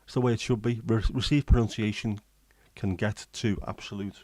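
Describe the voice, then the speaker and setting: A man's voice: on the low side, fairly even intonation, a little fast, darkish tone, no echo. A man from England, chatting casually on a livestream and answering comments, rambling with many ums and restarts. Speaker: livestream host. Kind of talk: casual chat, reading and answering live comments.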